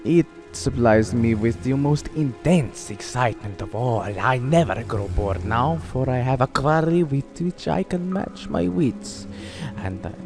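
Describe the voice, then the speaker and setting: An actor playing a suave, boastful aristocratic general who hunts men, in a courtly, theatrical old-time radio drama style. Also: male voice, medium pitch, expressive, measured pace, few pauses, over music. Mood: gleeful, intense.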